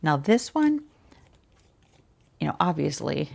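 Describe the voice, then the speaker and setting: A woman talking quietly in an ASMR shop roleplay, gentle and chatty, with a soft, breathy voice that often drops toward a whisper. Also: sits low, sing-song, takes it slowly. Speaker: ASMR roleplayer as boutique shopkeeper and channel host.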